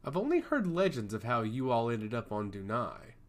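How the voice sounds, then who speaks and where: A man voicing a charming god of chaos in a fantasy story, speaking with calm, theatrical confidence. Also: mid-range voice, normal speed. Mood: guarded, candid.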